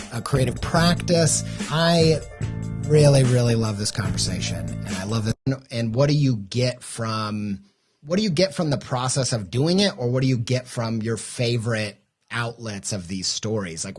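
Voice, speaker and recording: A middle-aged man hosting a creative podcast, talking eagerly with loose, rambling restarts. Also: medium pitch. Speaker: podcast host. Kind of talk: podcast intro and interview.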